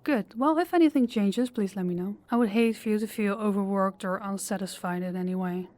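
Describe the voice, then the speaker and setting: A woman acts out a demanding female boss for an audio roleplay. She talks in a formal, measured way at a medium pitch, with a sweet condescension that carries veiled menace. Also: darkish tone, close to an everyday voice. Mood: polite, attentive. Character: poised, controlling female boss with veiled menace, affectionate toward employee.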